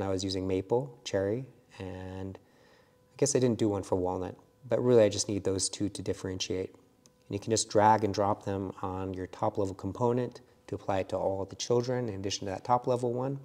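A middle-aged man with a fairly low voice explains a woodworking software workflow. He speaks in a relaxed, explanatory way and often fills gaps with "uh." A lapel mic picks him up inside his workshop. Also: brisk. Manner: relaxed, explanatory, with frequent fillers. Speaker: woodworking instructor. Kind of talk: software tutorial walkthrough. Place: wood workshop indoors.

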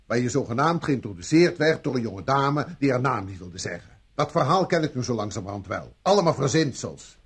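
An actor playing a stern, sceptical British police inspector who questions with sarcasm in a radio drama. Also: male voice, mid-range voice, big pitch swings, a little fast, hardly any pauses, breathy edge, full character voice. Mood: sardonic, pressing. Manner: sarcastic, interrogating. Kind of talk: vintage Dutch radio drama acting.

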